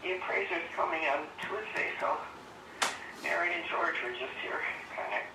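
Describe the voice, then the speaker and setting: An older woman chatting casually and haltingly on a phone call, rambling as she goes. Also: on the low side, animated. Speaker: phone interviewee, former resident of the house. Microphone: phone call played over speaker. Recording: distant noisy phone speaker, echoey.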